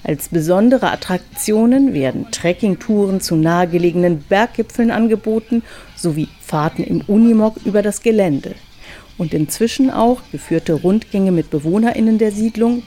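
A woman's voice: mid-range voice, normal speed, dark tone. A woman reading scripted documentary narration as a reporter.

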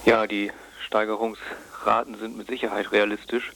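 A man's voice: lowish voice, flat delivery, moderate pace, gravelly, fairly bright tone, slight background noise, poor audio. A man giving explanatory answers in an interview as an expert guest. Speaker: traffic expert, phone interviewee.

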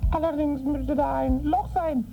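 A performer voicing a cheeky drunken little fetus with a squeaky, brash voice in a comic book radio dramatization. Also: steady tone, unhurried, vocal fry. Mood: insolent. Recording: dull lo-fi, music behind.

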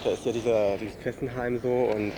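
A man answering interview questions casually, in a colloquial, rambling and halting way. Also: mid-range voice, steady tone. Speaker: street interviewee. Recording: noisy outdoor recording with traffic.

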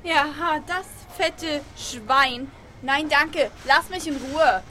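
Woman playing a prickly, high-pitched young woman. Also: takes it slowly, bright, forceful tone, slight background noise, full character voice. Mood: dismissive. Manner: curt, snide. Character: cool, prickly young female songwriter. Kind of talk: radio play acting.

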